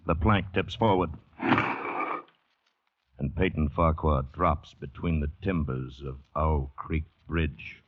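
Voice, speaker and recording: An actor playing a solemn, ominous narrator of a hanging in an old-time radio drama. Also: male voice, a bass voice, no room sound, exaggerated voice. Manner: slow, ominous. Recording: muffled, slightly noisy old radio recording.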